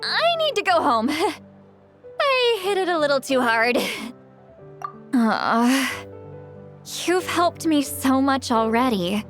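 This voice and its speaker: Earnest high-voiced young warrior woman. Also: sing-song, slow pace, pauses often, a bit bright, soft background music, heavily acted. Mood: tipsy, grateful. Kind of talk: English anime game dub.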